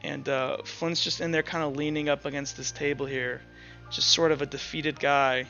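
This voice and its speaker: A man narrating a tabletop roleplaying game as game master, improvising haltingly as he goes. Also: fairly high pitch, flat delivery, pushed and bright. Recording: dull lo-fi audio under music.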